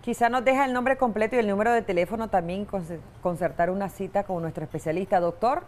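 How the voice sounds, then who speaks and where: A woman's voice: medium pitch, quick pace, darkish tone, a little hiss or hum. A woman in her thirties with a Nicaraguan accent, hosting a TV studio show and asking courteous questions. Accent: Nicaraguan Spanish. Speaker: TV host. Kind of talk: morning TV hosting.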